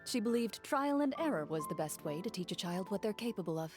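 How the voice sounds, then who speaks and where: Composed warrior woman for a video game. Mid-range voice, formal, calm, matter-of-fact. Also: mid-range voice. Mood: reflective. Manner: calm, formal, measured phrasing. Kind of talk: video game character dialogue. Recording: music faintly behind the voice.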